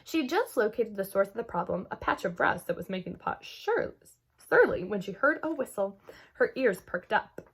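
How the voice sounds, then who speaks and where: A woman reading a children's story aloud expressively, with dramatic emphasis and hushed moments. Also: mid-range voice, big pitch swings, moderate pace, husky. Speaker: children's book read-aloud host.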